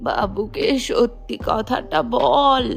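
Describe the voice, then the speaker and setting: A poor old granny from a cartoon village. Her voice is high and very creaky, and she speaks slowly, drawing out plaintive, scolding complaints over faint music. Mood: complaining. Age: older. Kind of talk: cartoon folk tale acting.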